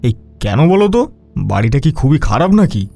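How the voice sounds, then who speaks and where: A man plays a young, educated traveller in a spooky audio story. The voice is soft-edged and very expressive in pitch, with a polite, questioning manner. Music runs faintly behind. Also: normal speed, vocal fry. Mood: curious, questioning. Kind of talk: audio horror story acting.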